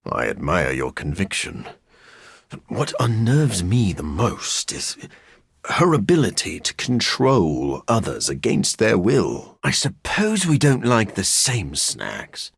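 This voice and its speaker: A man voicing a brooding, dryly witty living-vampire scientist in a video game, with a raspy, gravelly voice and a formal, sardonic, deadpan manner. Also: sits low, slow pace, no echo, clean studio sound, heavily acted. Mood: grave, reflective. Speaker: doctor and scientist. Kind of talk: video game character voice lines.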